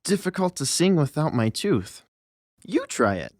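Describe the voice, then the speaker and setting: A woman's voice: low-pitched, very animated, measured pace, darkish tone, dry recording, clean studio sound, voice pushed down. A woman voicing a mocking, vengeful male troublemaker in a theatrical audiobook performance. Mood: smug menace. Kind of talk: audiobook character acting.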